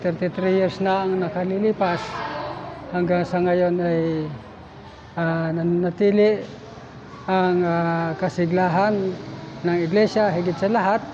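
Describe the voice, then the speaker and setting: An older man, a founding church member, answering an interview haltingly with many pauses and fillers. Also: high-pitched, little pitch movement. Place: church hall, indoors. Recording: dull, noisy room audio.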